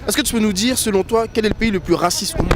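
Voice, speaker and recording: A man's voice: high voice, very fast. A man interviewing passers-by in the street, asking short prompting questions. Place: city street outdoors. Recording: loud street noise.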